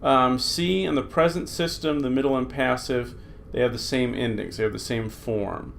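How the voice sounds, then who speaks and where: A man teaching a recorded New Testament Greek lesson, talking through slides in an unhurried way with many fillers. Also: mid-range voice, vocal fry, a bit bright, slight background noise.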